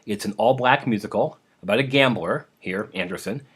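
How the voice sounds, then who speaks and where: A man's voice: fairly low pitch, normal speed, a bit bright, slightly rough. A man hosting a podcast, introducing old radio programs in an informal, prepared style. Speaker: podcast host.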